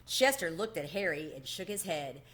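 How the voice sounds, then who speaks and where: A woman reading a children's story aloud in an expressive storytelling style. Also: lowish voice, normal speed.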